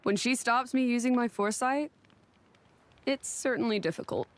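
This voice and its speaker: A woman's voice: a little high, moderate pace, close to an everyday voice. An actress playing a frank, proud, earnest young woman companion in a video game, direct and candid. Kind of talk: video game cutscene acting.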